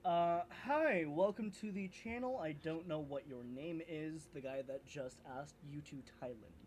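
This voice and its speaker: A man's voice: fairly high pitch, unhurried, darkish tone, slight background noise. A man who is a streamer and voice actor, talking casually and reacting animatedly to his chat.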